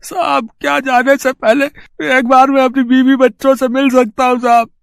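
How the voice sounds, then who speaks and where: A man's voice: very high voice, flat delivery, normal speed, vocal fry, clear, resonant tone, dry recording, clean studio sound, voice pushed up. A man voicing a humble, earnest poor food seller in a storybook tale, polite and hesitant. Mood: pleading. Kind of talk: acted storybook dialogue.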